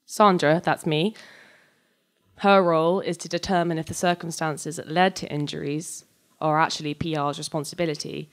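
A young adult woman giving a live, scripted dramatic reading of fiction on stage into a microphone, moving between measured narration and conversational dialogue. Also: low voice, steady tone. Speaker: reader of a short story. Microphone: handheld-type microphone on a stand, close.

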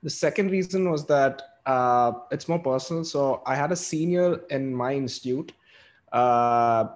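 A man from India answers a panel question over a video call in an organized, stepwise way. His voice sits in the middle range, with many small pauses. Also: medium pitch. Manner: organized, with frequent "uh". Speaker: alumnus panelist.

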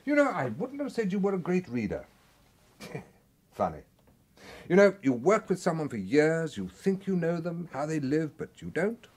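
An actor with a British accent playing an affable, wheedling secret service official in a radio drama, genteel and falsely casual. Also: male voice, on the high side, wildly swooping pitch, normal speed, a little mellow, breathy edge, heavily acted. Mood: coy, insinuating. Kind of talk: radio drama acting, dialogue.

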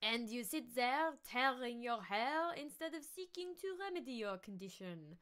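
A woman voicing a sly, mocking man with a nasal, drawn-out delivery in a novel reading. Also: fairly high pitch, lively intonation, a bit bright, voice pushed up. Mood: sly, taunting. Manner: drawn-out, taunting. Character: sly mocking man goading a lovesick rival.

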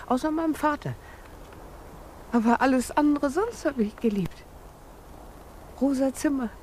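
A tender, dreamy old maid, speaks haltingly in a high, mellow voice, naive as a child. It is a vintage radio drama with faint noise. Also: female voice. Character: shy, dreamy, childlike elderly spinster, tender and innocent. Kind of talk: 1950s German radio play acting.

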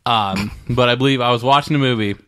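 A man's voice: mid-range voice, quick pace, fairly bright tone, clear, resonant tone. A young man co-hosting a podcast in casual chat, eager and swearing casually. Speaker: podcast co-host.

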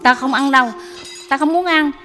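A woman voicing a stubborn, sorrowful, frail old mother-in-law with an emotional manner in an animated dub. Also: high voice, moderate pace, close to an everyday voice. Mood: angry refusal. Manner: agitated, blunt, emotional. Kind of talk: dubbed animated moral tale. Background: background music.

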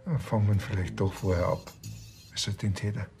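A man's voice: deep voice, fairly even intonation, fairly quick, vocal fry, music in the background. An actor playing a grumbling, matter-of-fact village policeman in a TV crime comedy. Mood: deadpan.

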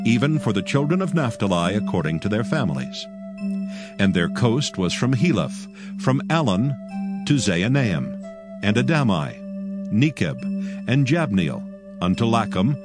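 A man reading scripture as a narrator in a formal, solemn, measured and declamatory manner. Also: fairly low pitch. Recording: prominent music bed. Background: music.

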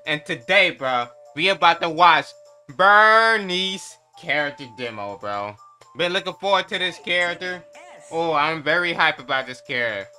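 A man's voice: a little high, expressive, moderate pace, sharp tone, soft background music. A man gives casual, hyped, slangy and profane reaction commentary. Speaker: reaction video host.

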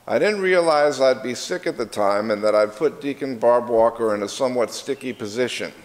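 Pastor preaching in a reverberant church. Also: male voice, brisk. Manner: earnest preaching, rising in pitch and force as he builds. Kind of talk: sermon. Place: church sanctuary, at a pulpit. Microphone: pulpit microphone.

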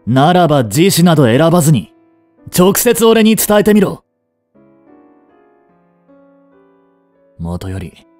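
A man's voice: mid-range voice, a little mellow, no room sound, lightly acted. A man playing a cold, haughty young prince in a romantic audio drama, curt and imperious but secretly protective. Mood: stern, bitter.

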